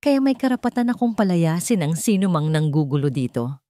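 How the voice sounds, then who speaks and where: A woman's voice: fairly low pitch, big pitch swings, fairly quick, mellow, no room sound, studio quality. Woman acting a commanding, protective man. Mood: firm. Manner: firm, emphatic, commanding. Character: authoritative, angry, protective landlord man.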